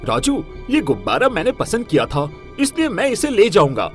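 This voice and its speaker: A man playing an excitable village man in an animated story, frantic and pleading. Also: sits high, normal speed, soft background music. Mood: stubborn, arguing. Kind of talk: animated moral story voice acting.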